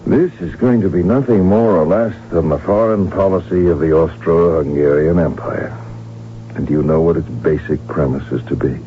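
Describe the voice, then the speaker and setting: A man's voice: low-pitched, moderate pace, slight background noise, lightly acted. A man playing a proud, ambitious, defiant archduke and devoted husband in a radio drama, speaking with grandiose, theatrical emphasis. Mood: triumphant, tender. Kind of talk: 1970s radio drama acting. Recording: muffled, humming old radio broadcast recording.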